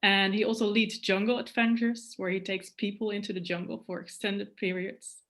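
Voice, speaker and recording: A woman hosting an online summit, reading a measured, formal introduction of her guest before asking her first question. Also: mid-range voice, fairly bright tone. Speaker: summit host. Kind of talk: scripted interview introduction.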